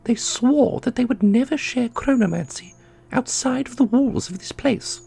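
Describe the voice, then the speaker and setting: A man voicing a solemn, knowing keeper of an ancient magical order in a fantasy audio roleplay, speaking in a formal, measured way. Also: very high-pitched, vocal fry, warm tone, slightly rough, full character voice. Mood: grave. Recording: music under the voice.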